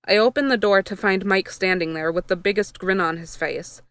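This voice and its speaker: A woman's voice: medium pitch, moderate pace, a bit bright, clear, resonant tone, no echo. A woman narrating a first-person story, reading from a script as she goes. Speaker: fan fiction reader.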